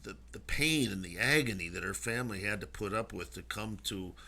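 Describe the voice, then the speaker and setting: A man hosting a talk radio show, speaking conversationally in a halting, earnest way. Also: fairly low pitch, animated, creaky, bright, forceful tone.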